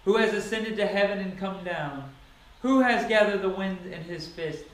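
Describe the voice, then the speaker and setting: Male Bible reader, high voice, solemn and declamatory, deliberate phrasing, very echoey recording. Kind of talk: dramatic scripture reading. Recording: very echoey, reverberant sound.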